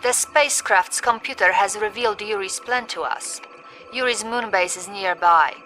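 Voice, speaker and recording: A woman's voice: mid-range voice, bright, forceful tone, lightly acted, low-quality recording. A woman as a composed Soviet briefing officer. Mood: composed, formal. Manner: measured, formal briefing. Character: composed female Soviet military intelligence officer. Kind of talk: video game mission briefing. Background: music.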